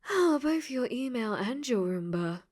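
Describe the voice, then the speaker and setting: A woman voicing a clingy, possessive dating-sim AI in a robot body for an ASMR roleplay, sweetly menacing and breathy, often dropping to whispers. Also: on the high side, sing-song, measured pace, darkish tone, voice pushed up. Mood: smug, playful. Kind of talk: ASMR audio roleplay acting.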